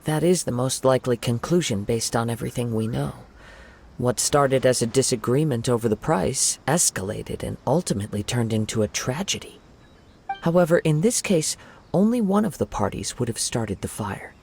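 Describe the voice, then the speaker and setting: A man voicing a calm, reflective young swordsman in a video game, speaking in a measured, articulate way. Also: medium pitch, vocal fry, slight background noise. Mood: pensive. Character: calm, thoughtful young wandering male swordsman piecing together clues.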